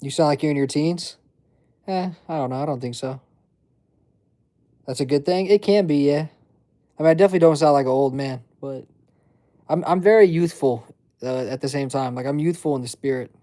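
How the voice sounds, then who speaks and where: A man's voice: a little high, brisk, pauses often, warm tone. A man in his twenties chatting casually on a livestream, reading chat and rambling in loose slang. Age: 20s. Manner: rambling, filler-heavy slang. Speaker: livestream host.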